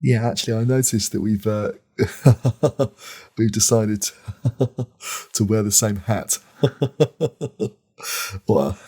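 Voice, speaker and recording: A man's voice: fairly low pitch, drawn-out words, long pauses, dark tone, breathy edge, heavily acted. A man playing an awkward, giggly reverend as a podcast guest, chuckling between phrases. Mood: awkward amusement.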